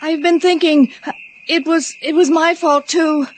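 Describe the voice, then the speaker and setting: An actress in a radio drama playing a judge's anxious, guilt-ridden wife who pleads haltingly. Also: female voice, very high voice, steady tone. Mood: guilty, pleading.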